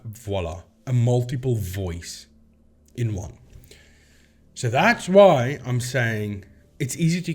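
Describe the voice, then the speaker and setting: A man hosting a casual explainer video, talking informally to viewers and thinking aloud as he demonstrates. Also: mid-range voice. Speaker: video host, paranormal debunker.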